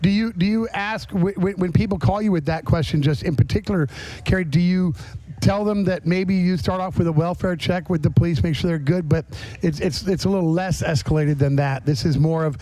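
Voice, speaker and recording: A man's voice: high-pitched, fairly quick, dark tone, poor audio. A middle-aged man hosting a podcast, chatting casually in a rambling, self-interrupting way. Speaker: podcast host.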